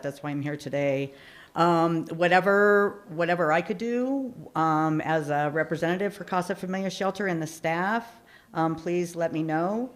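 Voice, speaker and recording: A woman with a naturally loud voice, a shelter program manager, speaking earnestly at a community town hall with many ums. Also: sits low. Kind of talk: public comment at a town hall. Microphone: handheld microphone.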